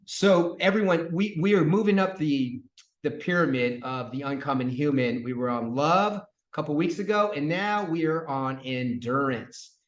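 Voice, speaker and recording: A man's voice: fairly high pitch, expressive, moderate pace, dull audio. A man hosting a podcast as a fitness coach, casually interviewing a guest and encouraging her as she talks. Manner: easygoing, encouraging, repeats guest's answers. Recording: echoey room.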